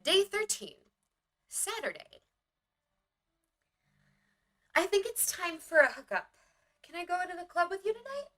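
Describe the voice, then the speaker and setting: Woman playing naive young newcomer, motion comic heroine, animated pitch, bright tone, wry, chatty, eager. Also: normal speed, exaggerated voice. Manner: chatty, wry diary-like telling. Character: naive, eager small-town young woman new in the city. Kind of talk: motion comic narration and dialogue.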